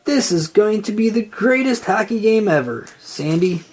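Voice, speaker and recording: A storybook reader voicing an excitable, earnest, nervous young male cartoon sea sponge. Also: mid-range voice, normal speed, slightly rough, voice pushed up. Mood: earnest, eager. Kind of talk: children's storybook character read-aloud.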